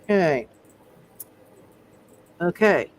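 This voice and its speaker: Male game master, high voice, repetitive improvised delivery, slightly noisy setting. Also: lively intonation, drawn-out words. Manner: repeats words and phrases while thinking. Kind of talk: improvised narration of a live tabletop roleplaying game.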